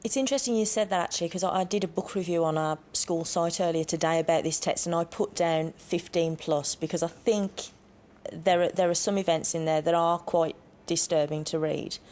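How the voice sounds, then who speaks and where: A woman teacher talks about a novel with enthusiasm, filling pauses with ums. Her voice sits a little low. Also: fairly even intonation, a little mellow. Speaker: teacher panelist. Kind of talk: panel discussion.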